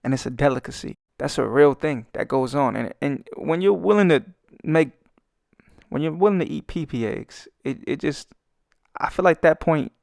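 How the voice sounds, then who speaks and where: A man's voice: fairly high pitch, moderate pace, vocal fry, a little mellow, dry recording, studio quality. A young man with a Brooklyn accent giving swaggering, profane, joking commentary while he draws. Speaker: artist and channel host. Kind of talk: rambling comedic commentary over a speedpaint.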